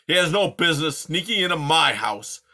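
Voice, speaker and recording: A man's voice: on the high side, animated, moderate pace, noisy background, voice pushed up, lightly acted. A man voicing a grumpy, scornful old male porcupine who growls and insults, in a storybook reading. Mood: scornful gruff.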